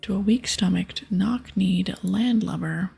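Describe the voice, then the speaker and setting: A woman voicing a gruff, bossy male pirate captain in a breathy ASMR whisper, with piratical dialect. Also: mid-range voice, a little slow, darkish tone, soft background music, full character voice. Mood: bossy, explaining. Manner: piratical dialect, explaining and ordering. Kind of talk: whispered ASMR game dialogue.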